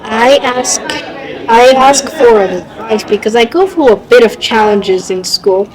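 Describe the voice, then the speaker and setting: A woman asking prepared interview questions in a careful, halting way. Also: on the high side, lively intonation, crowd noise, rough recording. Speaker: interviewer, student reader.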